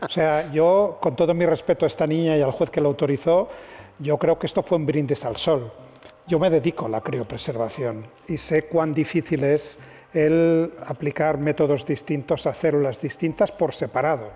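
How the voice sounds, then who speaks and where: A man explains his field as a scientist guest on a panel, repeating key points for emphasis. His voice is husky and pitched a little high. Long hall reverb follows each phrase. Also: normal speed, warm tone. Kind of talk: panel discussion.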